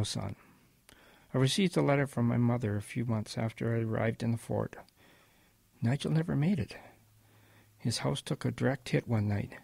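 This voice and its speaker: Man playing old grandfather, husky, dry close sound, wistful, gentle, reflective, audio drama storytelling. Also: mid-range voice, no room sound. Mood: somber. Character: wistful old grandfather recounting his wartime boyhood.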